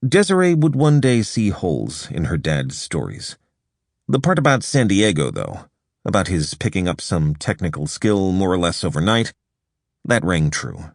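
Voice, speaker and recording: A man with a very deep voice reads a nonfiction audiobook in a measured, even storytelling way. He is recorded close on a studio microphone in a completely dry room with no reverb. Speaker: audiobook narrator. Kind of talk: scripted audiobook narration.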